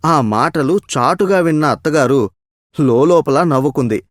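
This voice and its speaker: A man's voice: a little high, animated, unhurried, no echo, studio quality. A man narrating a scripted moral story.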